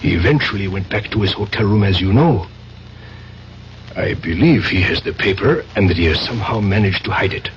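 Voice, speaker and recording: An actor playing a cool, calculating spymaster in a radio drama, speaking in a measured, formal, authoritative way. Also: male voice, lowish voice, animated, close to an everyday voice. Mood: cool, commanding. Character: commanding, calculating male spymaster, cool and controlled. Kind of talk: 1970s radio drama acting. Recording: dull vintage radio broadcast, faint noise.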